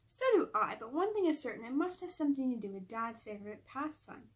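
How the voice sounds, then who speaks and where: A woman's voice: high voice, very animated, fairly quick. A woman voicing curious, eager young girls in a storybook read-aloud.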